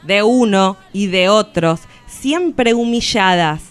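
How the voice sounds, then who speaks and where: Woman acting defiant grieving everywoman in a radio play, slow solemn delivery, smooth voice. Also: medium pitch, lightly acted. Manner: solemn, deliberate, poetic declamation. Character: defiant collective woman of all ages, grieving and accusing. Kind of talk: declamatory radio theatre recitation.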